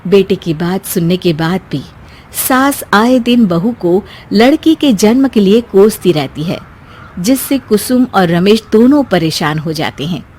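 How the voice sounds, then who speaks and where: A woman's voice: mid-range voice, lively intonation, unhurried, a little mellow, breathy edge. A woman narrating a scripted family story as a storyteller.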